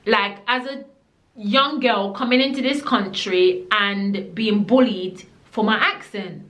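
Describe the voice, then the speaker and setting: A woman's voice: medium pitch, slow pace, fairly bright tone, reverberant. A young woman from Jamaica with a Jamaican accent, telling a blunt, emphatic storytime to camera and slipping into patois. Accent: Jamaican, living in the UK. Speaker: vlogger. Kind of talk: storytime vlog.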